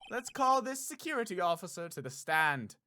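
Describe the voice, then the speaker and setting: A man's voice: sits high, big pitch swings, moderate pace, pushed and bright, a little hiss or hum, exaggerated voice. A man voicing formal, theatrical courtroom figures for a video game, pompous and declamatory. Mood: confident. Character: theatrical, formal male courtroom lawyer and judge.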